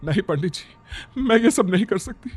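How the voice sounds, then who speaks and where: A man's voice: high-pitched, animated, moderate pace, breathy edge, pitched above the performer's own voice. A man voicing a frightened but determined village aunt with an urgent, pleading manner in a narrated horror story. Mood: horrified. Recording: background music under the voice.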